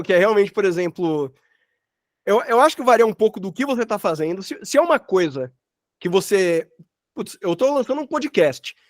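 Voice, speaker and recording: A man's voice: sits high, no echo, clean studio sound. A Brazilian man teaching in an informal, colloquial way, thinking aloud and often rephrasing himself as he explains. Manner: rambling, colloquial, thinks aloud with frequent self-corrections. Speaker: workshop instructor, sound designer. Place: online workshop from own workspace.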